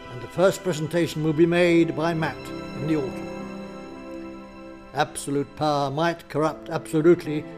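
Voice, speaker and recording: A man playing the narrator of a political radio drama, in measured scripted delivery. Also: on the high side, close to an everyday voice. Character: male narrator telling a political thriller's aftermath, measured. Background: music.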